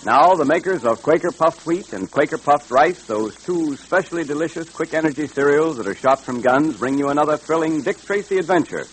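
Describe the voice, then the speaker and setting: A man's voice: a little high, lively intonation, fairly quick, hardly any pauses, slightly rough, poor audio. A man with an American accent delivering scripted 1940s radio announcing in an emphatic, salesmanlike way.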